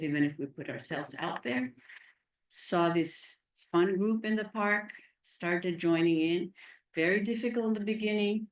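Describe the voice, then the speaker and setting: A retired woman giving a halting, reflective public comment at a city meeting. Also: lowish voice, roomy sound, far from the mic, poor audio. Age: older. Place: city council chamber.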